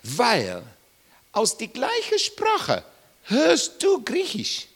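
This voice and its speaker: Emphatic preacher man, swooping pitch. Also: huge pitch swings, extremely slow, fairly bright tone. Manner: emphatic, rising and falling sharply, repeats questions to listeners. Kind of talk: Bible teaching sermon.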